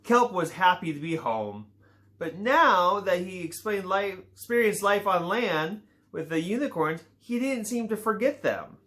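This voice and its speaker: A man reading a children's picture book aloud, unhurried and informal. He stumbles now and then and drops in playful asides, and his pitch rises and falls a great deal as he tells the story. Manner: relaxed reading with small slips and playful asides.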